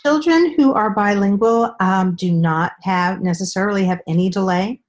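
A middle-aged woman speaking as a guest expert on a video call from a room at home, explaining in a measured way with frequent ums. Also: mid-range voice, highs cut off. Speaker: speech-language pathologist guest.

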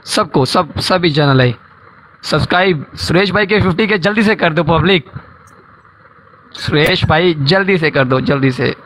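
A man's voice: a little high, a little slow, fairly bright tone, slight background noise, rough recording. A young man on a headset hosting a casual live stream video call, thanking and urging viewers to follow. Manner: pleading with viewers, repeating thanks. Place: indoor room, video call.

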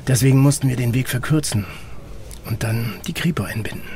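An actor playing a guarded middle-aged investigator in an audio drama, explaining things in a terse, matter-of-fact way. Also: male voice, mid-range voice, fairly quick, a little mellow, a little hiss or hum, natural voice. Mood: measured, guarded. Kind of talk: German audio drama dialogue.

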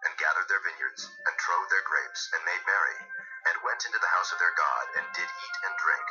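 A man narrating dramatized scripture in a solemn, measured storytelling manner. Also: low voice. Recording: dull lo-fi audio. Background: music.

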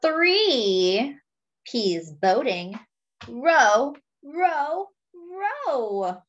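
A young adult woman reading a picture book aloud to small children, addressing them in a deliberate sing-song way. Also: sits high, very animated, dull audio. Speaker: children's story reader.

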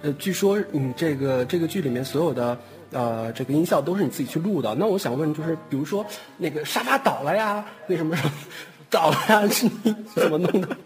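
A man's voice: fairly high pitch, animated, a little fast, faint music behind. A man hosting an informal cast chat, with a hesitant, teasing way of asking questions. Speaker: host.

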